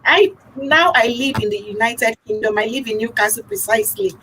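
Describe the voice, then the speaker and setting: Higher-pitched Nigerian woman, faint noise. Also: expressive, a little fast, a bit bright, low-quality recording. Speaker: talk show host appearing as guest. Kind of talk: casual personal talk.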